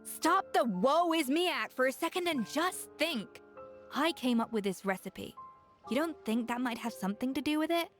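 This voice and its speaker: A confident young female chef with a fairly high voice. She is proud and sharp-tongued, lecturing emphatically in anime game style, over faint music. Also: animated, moderate pace. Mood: exasperated.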